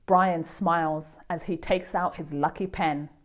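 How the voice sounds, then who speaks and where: A woman reading a children's picture book aloud as a host, with expressive, deliberate storytelling. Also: low voice. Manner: animated, deliberate delivery for children.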